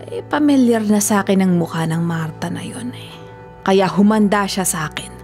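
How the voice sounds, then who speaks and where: An actress playing a domineering, jealous older ex-wife in a Filipino radio drama, scolding and accusing with scorn. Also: female voice, mid-range voice, normal speed, a little mellow, lightly acted. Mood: stern, vengeful. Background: music.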